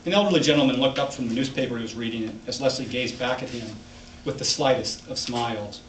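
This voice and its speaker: A man's voice: medium pitch, fast talker. A man reading his own literary short story aloud to a live audience as its author. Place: library event room, indoors. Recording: echoey room, distant mic, background noise.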